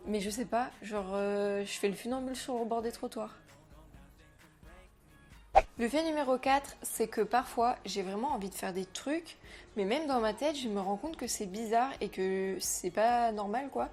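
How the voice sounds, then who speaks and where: A young woman, a French native speaker, chatting to camera in a slangy, animated way, talking about herself as a vlogger. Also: on the high side, moderate pace, faint music behind. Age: young adult. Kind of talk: casual chat to camera.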